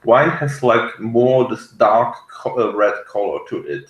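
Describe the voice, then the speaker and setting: Man, slow pace, hesitant delivery, casual co-host review chat, echoey room. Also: medium pitch, lively intonation, muffled recording, slight background noise. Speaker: podcast co-host reviewing a TV series. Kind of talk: casual review chat, partly reading notes aloud.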